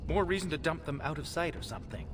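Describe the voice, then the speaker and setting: A man's voice: fairly high pitch, animated, fast talker, background noise, close to an everyday voice. A man voicing a cautious, fussy member of a covert crew in a video game, anxious and clipped. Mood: wary, fussy. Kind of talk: video game character acting.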